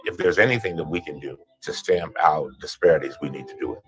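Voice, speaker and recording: A man with an extremely deep voice speaks with fervent conviction. His delivery rises like a sermon, with faint music underneath. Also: fast talker, a bit bright, rough recording. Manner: impassioned, preacher-like conviction. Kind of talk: documentary interview clip.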